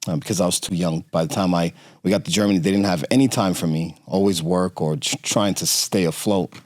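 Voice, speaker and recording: Middle-aged man guest from Romania, low voice, halting storyteller, dead-dry mic. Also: darkish tone, dry recording. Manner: halting, many fillers and restarts. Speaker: podcast guest. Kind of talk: conversational interview storytelling.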